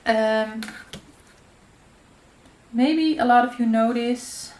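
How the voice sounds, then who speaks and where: A woman's voice: fairly high pitch, drawn-out words, darkish tone. A woman in her thirties with a Dutch accent, whose first language is Dutch, chatting casually while she works through a paper craft tutorial. She talks in a loose, friendly way, with many fillers, and now and then she stops to search for a word. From Netherlands. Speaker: crafting channel host. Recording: echoey room with faint noise.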